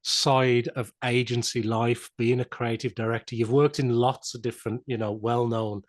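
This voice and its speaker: A man's voice: medium pitch, little pitch movement, normal speed, dry recording, clean studio sound. A man hosting a podcast interview, asking questions in a friendly, casual way. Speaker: podcast host.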